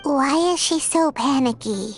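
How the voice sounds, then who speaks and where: A woman voicing a small, childlike fairy companion in a video game, squeaky, excitable and blunt. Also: a little slow, faint music behind. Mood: curious. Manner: refers to self in third person, exclamatory.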